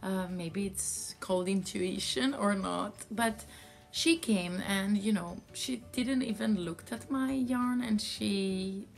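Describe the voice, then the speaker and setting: Slow-talking woman telling a story. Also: medium pitch, creaky, soft background music. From France. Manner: slow, rambling, many fillers. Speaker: yarn dyer and seller. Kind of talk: casual storytelling vlog.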